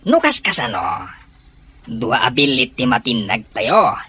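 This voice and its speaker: A scheming car-thief boss in a radio comedy. The voice is deep for a woman, bright and hard-edged, with animated, commanding delivery. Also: unhurried, slightly rough, full character voice. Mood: sly, boastful. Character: scheming bossy leader of petty car thieves. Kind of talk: radio comedy drama acting.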